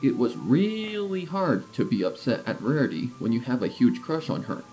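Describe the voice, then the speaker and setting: Casting: male narrator, mid-pitched and very lively, playful storybook read over soft music. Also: moderate pace, poor audio. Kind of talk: scripted storybook narration of fan fiction.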